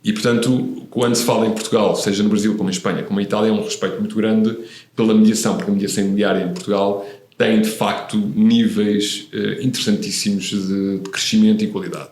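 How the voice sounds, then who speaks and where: A man's voice: on the low side, level tone, quick pace, darkish tone, roomy sound. A man in his fifties, born in Italy, talking thoughtfully as a podcast guest, with frequent hesitations and restarts. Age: 50s. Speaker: guest, real estate professional. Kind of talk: relaxed interview conversation.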